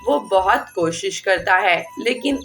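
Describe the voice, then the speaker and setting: Woman storyteller over clear background music. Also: medium pitch, animated, a little slow, fairly bright tone. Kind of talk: scripted children's story narration.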